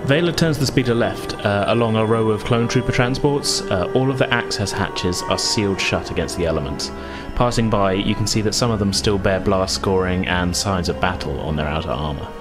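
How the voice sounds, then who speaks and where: Low-pitched male game master over music. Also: fairly quick, few pauses. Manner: descriptive, conversational. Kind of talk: tabletop roleplaying narration.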